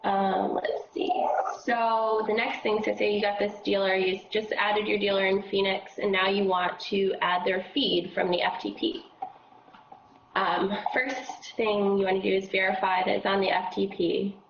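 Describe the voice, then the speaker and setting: A woman with a froggy voice leading a casual software training session, explaining steps with lots of fillers. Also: mid-range voice, level tone, moderate pace, creaky, roomy sound, dull audio, slight background noise. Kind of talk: casual screen-share training.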